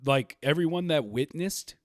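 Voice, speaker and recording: A man's voice: fairly high pitch, normal speed, no echo, clean studio sound. A man hosting a livestreamed podcast, talking casually and rambling, laughing easily. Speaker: podcast host.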